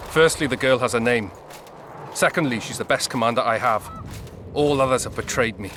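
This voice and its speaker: An actor playing a proud, resolute young prince in a video game, with a formal, measured, haughty manner. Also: male voice, medium pitch, background noise, lightly acted. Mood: firm. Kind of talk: dramatic video game acting.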